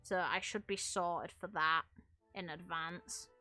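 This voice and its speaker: A woman's voice: on the low side, steady tone, slow, sharp tone, faint music behind. A woman doing casual, rambling gaming commentary in a frank, casually sweary way, like a streamer talking to viewers. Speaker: game streamer.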